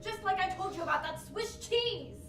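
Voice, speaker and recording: A woman's voice: extremely high pitch, moderate pace. A woman playing a whiny, exasperated ghost hunter who loves to bicker, in a comedic radio-style stage play. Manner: whiny, complaining, emphatic. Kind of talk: comedic old-time radio stage acting. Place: studio with live audience. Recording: roomy live stage, distant mic, faint music.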